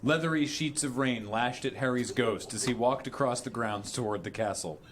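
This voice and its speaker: A man's voice: medium pitch, moderate pace, slight background noise. A man reading an absurd story aloud theatrically for comedy, prone to breaking up as he goes. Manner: theatrical, often breaking into laughter. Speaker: reader on a charity stream.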